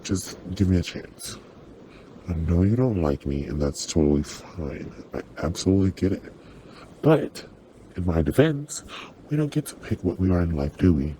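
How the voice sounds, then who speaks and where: A performer with a voice changer, playing a gentle, apologetic sleep paralysis demon who soothes and reassures in an ASMR roleplay. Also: deep voice, expressive, moderate pace, a little hiss or hum.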